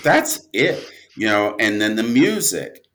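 Low-pitched, slow-talking man, casual and rambling. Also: very animated, fairly bright tone. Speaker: interview guest, audio drama writer and producer. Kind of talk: casual reflective conversation.

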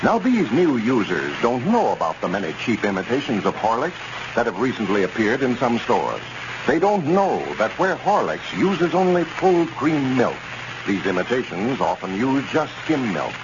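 A man's voice: medium pitch, big pitch swings, normal speed. An American man announcing in 1930s radio style, reading scripted sales copy and narration emphatically. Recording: muffled, noisy 1930s radio recording.

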